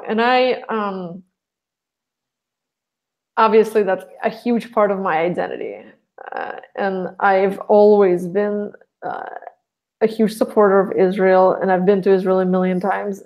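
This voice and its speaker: Woman from russia, young adult, casual podcast guest, rambling talk, restarts, mid pitch. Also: darkish tone. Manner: rambling, with many restarts and fillers.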